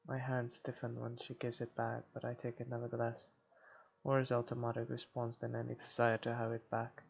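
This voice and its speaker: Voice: medium pitch, vocal fry, darkish tone, slightly rough. A person reading visual novel narration aloud for a game playthrough, with a muffled recording and game music behind. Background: game background music.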